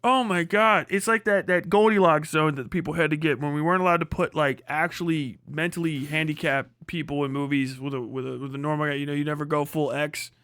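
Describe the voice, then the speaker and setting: Male stream host, casual reaction commentary: high-pitched, dry close sound, sardonic and foul-mouthed. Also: fairly even intonation, fairly quick, hardly any pauses, fairly bright tone, clean tone, no echo. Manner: sweary, exasperated, mocking. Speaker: livestream host.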